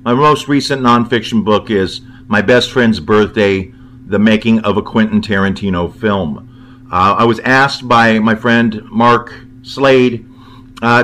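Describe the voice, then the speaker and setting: A man giving casual spoken film commentary in a halting, conversational and opinionated way. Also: on the low side, fairly bright tone. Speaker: writer, guest film commentator.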